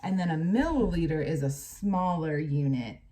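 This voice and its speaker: A woman's voice: sits low, lively intonation, moderate pace, dark tone, slight background noise. An American woman reads a lesson aloud as a teacher, adding plain explanatory asides addressed directly to children. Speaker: teacher reading a book aloud to pupils.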